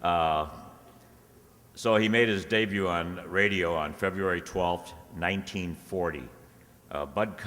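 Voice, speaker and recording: Deep-voiced man presenting in a hall. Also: measured pace, a bit bright. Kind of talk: informative introduction to a radio show.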